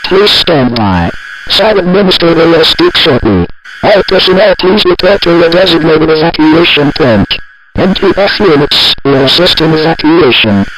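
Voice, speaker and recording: A man's voice: on the high side, expressive, normal speed, pauses often, fairly bright tone, rough recording. A man voicing an impersonal automated announcer at a secret facility, giving clipped, official emergency announcements. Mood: urgent, official.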